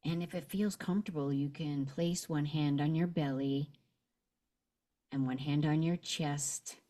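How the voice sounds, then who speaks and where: A woman guides a meditation in a low, mellow voice. She speaks gently and very slowly, leaving long pauses between short phrases as she leads the listener through a visualization. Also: fairly even intonation.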